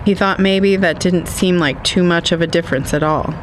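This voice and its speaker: A man reading scripted literary narration for an audio drama in a measured, wistful manner. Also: sits high, a little hiss or hum, low-quality recording.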